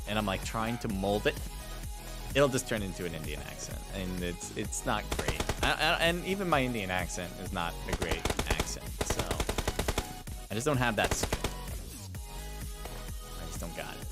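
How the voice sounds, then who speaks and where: Male livestream esports caster, mid-range voice, chatty and self-deprecating, clear music bed underneath. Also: mid-range voice. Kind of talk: casual live game commentary and chat. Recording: music behind the voice.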